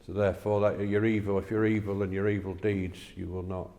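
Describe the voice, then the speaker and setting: A plain-spoken man talking haltingly in a casual discussion of faith, recorded with long reverb. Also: deep voice, level tone, warm tone.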